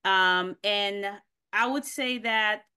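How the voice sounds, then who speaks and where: A woman's voice: medium pitch, slow, bright, forceful tone, smooth. A woman who grew up in Haiti, answering as a podcast guest over a video call, thoughtful and searching, with many ums. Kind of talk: casual interview answers.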